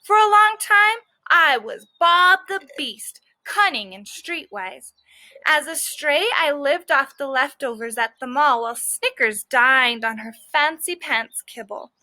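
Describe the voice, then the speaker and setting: A woman's voice: sits high, animated, a little slow, bright, forceful tone, dry recording. A woman teacher reading a children's book aloud expressively, stressing key words and adding explanatory asides for young listeners.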